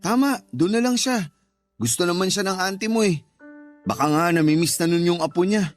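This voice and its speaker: Guy acting irritable mean husband, radio drama, pretty high voice, brushes everything off, soft music behind. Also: animated, normal speed. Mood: callous, dismissive. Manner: dismissive, curt, defensive. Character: callous, irritable husband who resents his stepchild.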